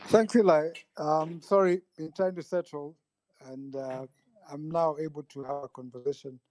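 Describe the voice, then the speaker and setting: Thoughtful man, warm dry voice. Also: on the high side, steady tone, moderate pace, dry recording, rough recording. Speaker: guest panelist. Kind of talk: discussion of politics.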